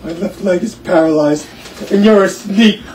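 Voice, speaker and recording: Heard at a distance in an echoey room, a man acts a stubborn, self-pitying neighbour come courting in a stage farce. His fairly high voice is fussy and melodramatic, forever the hypochondriac. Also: normal speed, darkish tone, natural voice. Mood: panicked, ailing. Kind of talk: farcical stage comedy acting. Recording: echoey room, distant mic, faint noise.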